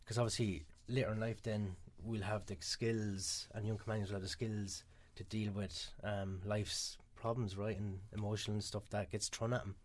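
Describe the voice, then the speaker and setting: This is an Irish man speaking as a guest on a radio interview. His voice sits a little low, and he talks fast and chattily, filling gaps with "um" and "uh". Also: flat delivery. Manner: quick, chatty, many "um" fillers. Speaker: guest from a mental health charity.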